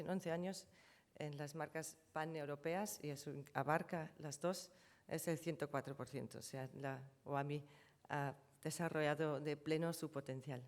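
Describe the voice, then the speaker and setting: Low-voiced woman giving a conference talk. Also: a little mellow. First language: German. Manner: explanatory, self-correcting, walks through figures from slides. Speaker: conference speaker on trademarks. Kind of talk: conference presentation.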